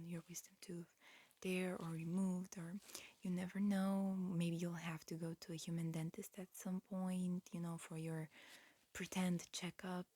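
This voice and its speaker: A woman whispering a gentle, breathy ASMR roleplay as a medical examiner, murmuring unhurriedly with pauses. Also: fairly low pitch.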